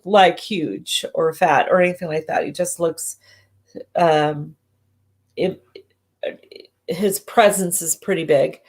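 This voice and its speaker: A woman doing live psychic readings who sounds congested and talks in halting bursts, often restarting and correcting herself. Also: on the low side, darkish tone. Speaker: psychic medium. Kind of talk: live-stream readings, casual chat.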